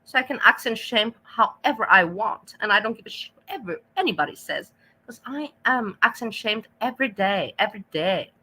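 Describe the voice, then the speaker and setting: A woman's voice: medium pitch, animated, normal speed, pushed and bright, breathy edge, low-quality recording. A woman with an Austrian accent giving a profane, scolding rant while reacting to clips. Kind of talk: ranting reaction commentary.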